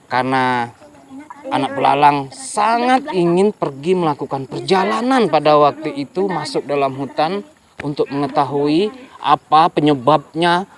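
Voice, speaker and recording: A storyteller telling a folk tale to children, animated and often addressing the young listeners directly. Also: mid-range voice.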